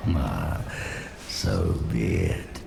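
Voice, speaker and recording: An actor playing an ancient, treacherous undead sorcerer in a fantasy game, with a rasping voice and a slow, theatrical, menacing manner. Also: male voice, a bass voice, animated, noisy background, exaggerated voice. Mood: sly bargaining. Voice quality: very gravelly, rasping. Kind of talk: fantasy video game voice acting. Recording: loud music underneath. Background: music.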